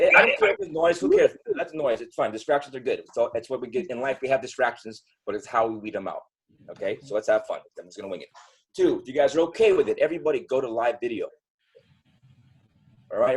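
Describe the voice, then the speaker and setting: A man delivers an informal motivational talk over a group video call. His pace is brisk and his voice has a husky grain. He is energetic and chatty, pulling listeners in as he goes. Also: medium pitch. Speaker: motivational team-training speaker.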